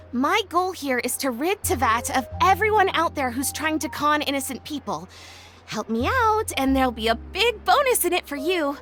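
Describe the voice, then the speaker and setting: Game role: young woman photographer, high and very lively, eager, glib, cheerful pitch patter. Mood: confident. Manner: bubbly, glib, theatrical sales patter. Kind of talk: animated video game character acting.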